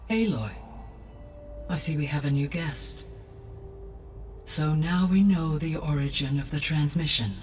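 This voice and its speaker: An actress voicing a calm, wise female AI that speaks in a measured, formal way. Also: sits low. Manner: measured, formal, precise diction. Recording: distant voice over loud music.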